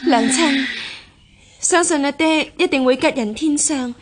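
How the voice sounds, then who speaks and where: A woman acting a devoted, gentle young unmarried daughter in a Cantonese radio drama, with a coaxing manner. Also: sits high, measured pace. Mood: consoling.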